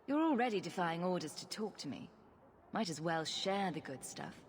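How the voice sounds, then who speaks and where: An actress playing a composed adventurer heroine, direct and dry, in a fantasy video game. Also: female voice, lowish voice, very animated, normal speed. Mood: wry.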